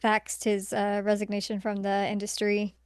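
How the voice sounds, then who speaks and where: Woman podcast cohost, casual agreeable chat, clean smooth voice, very dry sound. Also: mid-range voice, monotone, moderate pace, dry recording. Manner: informal, agreeable, frequent "like". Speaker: podcast co-host. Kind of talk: casual chat reviewing movies.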